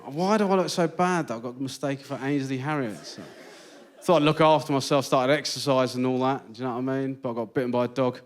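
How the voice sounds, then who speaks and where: A British man doing a stand-up set in a theatre, telling stories with deadpan punchlines. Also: medium pitch, fast. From Britain. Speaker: stand-up comedian. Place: large theatre with live audience. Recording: stage mic in theatre. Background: loud audience laughter.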